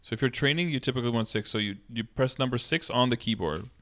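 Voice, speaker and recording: A man's voice: mid-range voice, fast talker, fairly bright tone. Male game streamer, thirties, mid-range voice, casual tutorial delivery, explains step by step. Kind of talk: casual live-stream tutorial, answering chat questions. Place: indoor room, streaming setup.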